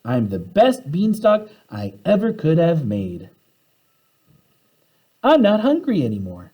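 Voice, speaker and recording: A man's voice: a little high, wildly swooping pitch, takes it slowly, mellow, breathy edge, voice pushed up, heavily acted. A man voicing an earnest, hungry little schoolgirl turned bean plant in a storybook, speaking in an exclamatory way. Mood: triumphant.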